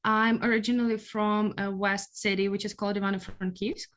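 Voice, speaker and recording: Woman, 20s, Ukrainian accent, Ukrainian native speaker, anxious, halting. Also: medium pitch, level tone. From western Ukraine. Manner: anxious, halting, many fillers. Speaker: interview guest. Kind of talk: conversational interview.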